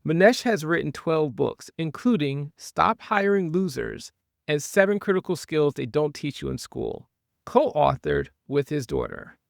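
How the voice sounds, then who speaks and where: Male podcast co-host, gravelly, lively pitch, dry sound. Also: unhurried, dry recording. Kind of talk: podcast intro reading.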